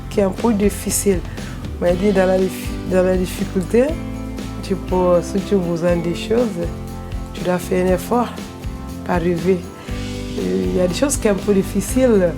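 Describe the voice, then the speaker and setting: A woman trainee speaking in a halting but determined way in an interview. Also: lowish voice. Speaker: trainee employee. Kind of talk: interview testimony.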